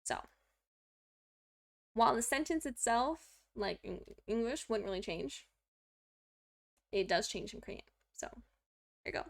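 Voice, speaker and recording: A woman's voice: animated, unhurried, creaky, long pauses. A young woman streaming her Korean study, explaining grammar in a chatty, self-deprecating way with lots of fillers. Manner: talks to a pet in a high baby voice.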